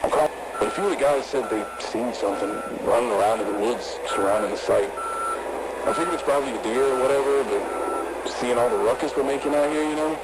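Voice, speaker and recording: A man playing an uneasy, frightened work-site foreman, speaking haltingly over a radio in an analog horror recording. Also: mid-range voice. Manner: halting, weary, unsettled. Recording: very noisy radio log, vehicle sounds.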